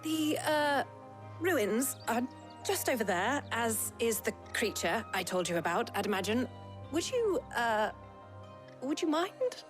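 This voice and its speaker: A woman's voice: a little high, big pitch swings, measured pace. Bookish british engineer lady from a game, precise n polite, bit timid, faint music under. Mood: hesitant, apologetic. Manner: precise, technical, politely hesitant. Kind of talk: video game voice acting.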